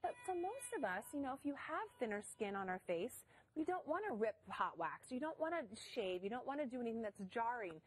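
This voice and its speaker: A woman with an Ohio accent pitches a product on a shopping channel, her voice sitting a little high. She speaks in emphatic, upbeat selling patter, and she is pregnant. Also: sing-song, a little fast, breathy edge, rough recording. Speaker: product spokeswoman.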